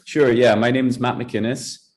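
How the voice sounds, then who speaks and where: A man's voice: medium pitch, steady tone, measured pace, a little mellow. A Scottish man being interviewed on a podcast, telling his career story in a conversational, enthusiastic way. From Scotland. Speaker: guest, athletics director.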